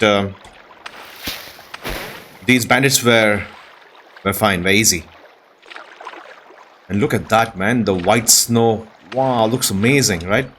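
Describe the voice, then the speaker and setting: A man's voice: fairly low pitch, a bit bright, slight background noise. A man giving casual gameplay commentary, talking to himself and the game's characters with awestruck exclamations. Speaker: gaming video host.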